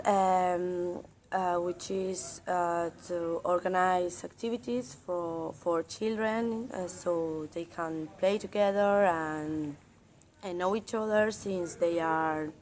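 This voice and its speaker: A woman's voice: fairly low pitch, a little hiss or hum. A woman in her thirties in a classroom role-play, speaking hesitantly with many fillers and restarts. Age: 30s.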